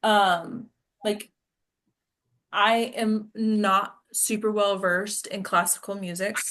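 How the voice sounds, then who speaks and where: A woman hosts an informal discussion in a medium-pitched voice. She talks thoughtfully and conversationally, often turning to the others for their take. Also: steady tone, slow pace, fairly bright tone. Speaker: host of an acting discussion. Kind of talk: casual group discussion.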